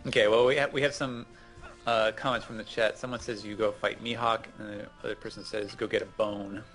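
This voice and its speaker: A man's voice: lowish voice, little pitch movement, a little fast, fairly bright tone, faint music behind, poor audio. A man talking casually as a co-host on a gaming show, chatting and giving game advice.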